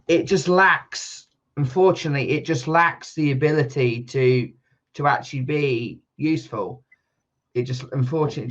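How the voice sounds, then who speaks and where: Chatty man on a muffled call. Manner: rambling, self-correcting, laughs often; gets loud and high when fired up. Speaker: guest. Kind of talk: casual podcast chat.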